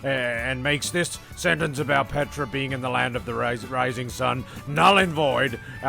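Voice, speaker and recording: A man's voice: medium pitch, creaky. A man narrating a comedy story in a loose, rambling way, stumbling over his words.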